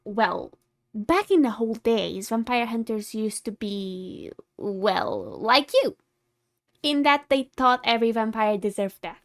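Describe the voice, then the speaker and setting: A woman's voice: on the high side, animated, takes it slowly. A woman playing a haughty, secretly caring tsundere vampire in a scripted ASMR roleplay, condescending and easily exasperated. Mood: lecturing. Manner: condescending, exasperated, flustered scolding with sighs.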